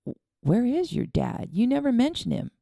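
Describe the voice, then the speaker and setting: A woman's voice: on the high side, sing-song, normal speed, creaky, no room sound, clean studio sound, voice pushed up. A woman voicing a kind, earnest young boy who comforts a friend. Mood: puzzled. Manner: earnest, gently reassuring.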